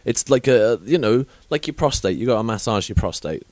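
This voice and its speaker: Rambling, frank English podcast host. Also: male voice, on the low side, animated, brisk, darkish tone, no echo. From England. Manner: frank, awkward, rambling with many fillers and laughs. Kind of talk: casual rambling chat.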